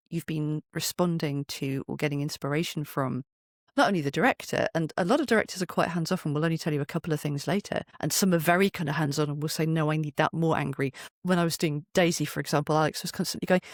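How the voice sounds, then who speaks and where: A woman's voice: low-pitched, no echo, studio quality. A British woman giving an interview in a chatty, anecdotal way, acting out remarks as she goes. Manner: chatty, acts out quoted remarks. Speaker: interview guest, voice actor and performer. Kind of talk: animated interview chat with anecdotes.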